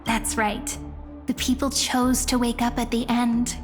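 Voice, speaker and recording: Game role: gentle young female storyteller voiced by an actress, fairly high, measured, with clear background music. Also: level tone, natural voice. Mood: earnest, measured. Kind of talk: video game story dialogue.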